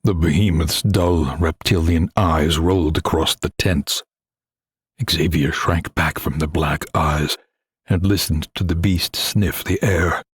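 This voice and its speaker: Man, very low husky voice, grave dramatic narration, suspenseful delivery, dry close sound. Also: no echo. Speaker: audiobook narrator. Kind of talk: scripted dramatic horror-adventure narration.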